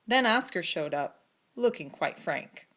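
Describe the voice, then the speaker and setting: A woman narrating a children's story expressively, with deliberate phrasing and dramatic pauses. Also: lowish voice, expressive, a bit bright. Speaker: storybook reader.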